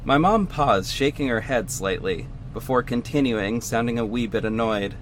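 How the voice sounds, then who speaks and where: A man's voice: mid-range voice, normal speed, a little hiss or hum, close to an everyday voice. A man playing an earnest young hero who narrates his own story in a conversational, scripted audio drama style. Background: music.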